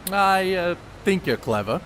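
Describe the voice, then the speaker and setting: Man playing a fussy, high-voiced scholarly ballplayer. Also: sing-song, measured pace, a bit bright, a little hiss or hum, heavily acted. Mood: guarded. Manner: precise, hesitant, reproving. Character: educated, fussy, exasperated male ballplayer scholar, secretive. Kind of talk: stage play acting, period dialogue.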